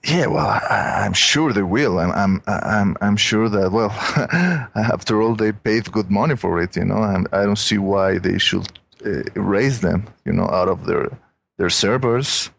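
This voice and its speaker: A man with a Mexican accent chats as a podcast guest, rambling and leaning on "you know." His voice is very low and gravelly. He talks slowly and unhurriedly. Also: expressive, a bit bright. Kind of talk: casual podcast chat.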